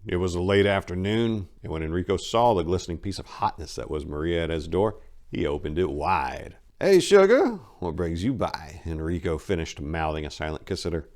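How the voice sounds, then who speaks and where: A man with a deep voice serves as host and narrator. He delivers scripted story narration in a dramatic, theatrical way, leaning on words for emphasis. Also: fairly even intonation, brisk, a little mellow.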